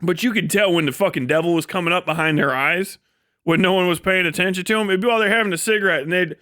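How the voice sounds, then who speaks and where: High-pitched, foul-mouthed man rambling on a livestream. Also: quick pace, no echo, studio quality. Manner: profane, folksy slangy phrasing; animated storytelling with exaggerated descriptions. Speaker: live streamer. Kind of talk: casual comedic rambling to a live chat.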